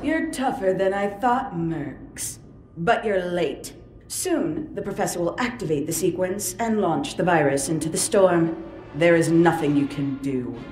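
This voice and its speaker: An actress playing a cold, taunting assassin villain in a video game, slow and smug. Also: female voice, lowish voice, a little mellow, echoey room, natural voice.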